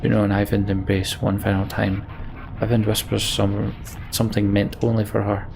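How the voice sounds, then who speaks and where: A man's voice: on the low side, completely flat delivery, moderate pace, vocal fry. A man doing a let's play, reading game text aloud and adding informal, enthusiastic asides. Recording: game music behind the voice. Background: game music.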